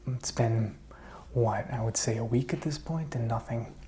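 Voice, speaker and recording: A man playing a lonely, rambling zombie apocalypse survivor in an ASMR roleplay, speaking softly and soothingly, often dropping to a whisper. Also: mid-range voice, slight background noise, lightly acted. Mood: grim, hushed. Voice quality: soft, breathy, often whispered. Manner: slow, hesitant, rambling, soothing.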